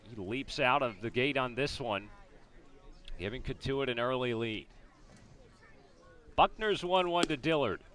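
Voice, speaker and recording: A man with an American accent calling a baseball game as a radio play-by-play announcer, describing each pitch and the setting. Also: pushed and bright. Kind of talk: live sports commentary, descriptive radio play-by-play.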